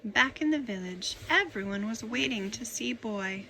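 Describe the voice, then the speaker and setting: A woman reading a children's picture book aloud in an expressive, dramatic storytelling manner. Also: fairly high pitch, normal speed, creaky, background noise.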